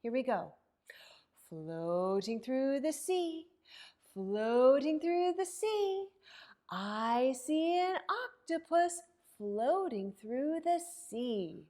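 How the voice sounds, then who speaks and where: A woman librarian hosting an interactive story time for young children, expressive and sing-song, asking questions and pausing for answers. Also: high voice.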